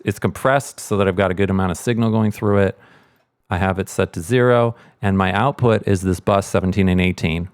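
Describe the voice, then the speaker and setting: A man's voice: sits low, no room sound, studio quality. A man explaining sound design in a casual, informal tutorial, thinking aloud as he goes.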